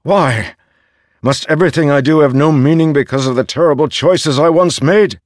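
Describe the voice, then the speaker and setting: A man's voice: medium pitch, very animated, measured pace, dry recording, studio quality, pitched above the performer's own voice. A man voicing an anguished warrior king burdened by guilt in a fantasy audiobook, strained, emotional and pleading. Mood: desperate pleading.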